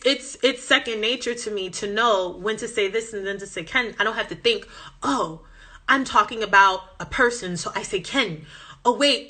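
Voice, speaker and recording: Bright-voiced animated woman with Southern twang. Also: fairly high pitch. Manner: animated, passionate, Southern American vernacular. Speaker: language-learning Instagram host. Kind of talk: casual live-stream chat reading comments. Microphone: phone.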